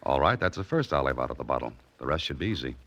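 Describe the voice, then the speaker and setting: An actor playing a wry, self-mocking mystery writer and adventurer in 1940s radio drama style, with dry, sardonic, clipped delivery. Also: male voice, extremely low pitch, big pitch swings, rapid-fire, vocal fry, fairly bright tone, full character voice. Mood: wry, cool. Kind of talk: 1940s radio drama acting with hard-boiled narration.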